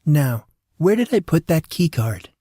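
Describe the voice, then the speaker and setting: A man voicing an excitable, absent-minded, motherly woman in an audiobook story, eager and fussing. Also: fairly high pitch, measured pace, dry recording, studio quality, voice pushed up.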